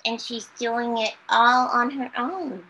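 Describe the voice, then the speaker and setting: A woman's voice: a little high, steady tone, slow pace, sharp tone, a little hiss or hum, low-quality recording. A woman co-hosting playful chat for children in an eager, childlike manner. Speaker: storytime co-host.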